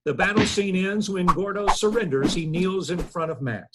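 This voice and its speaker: A man's voice: high voice, expressive, moderate pace, heavy background noise. A man reading out stage directions as scripted narration at a table read over video call.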